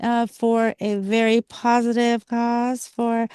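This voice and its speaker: A woman's voice: on the high side, little pitch movement, takes it slowly, darkish tone, smooth, no room sound, studio quality. A woman hosting a casual talk show, affirming her guests and asking questions conversationally. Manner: affirming, often agrees aloud. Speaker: interview show host.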